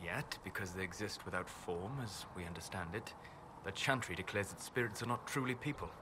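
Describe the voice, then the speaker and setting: A low-voiced, calm elven scholar-mage from a fantasy game , acted by a man. He speaks precisely and philosophically, over clear background noise. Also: brisk, close to an everyday voice. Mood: earnest, probing. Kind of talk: video game dialogue acting.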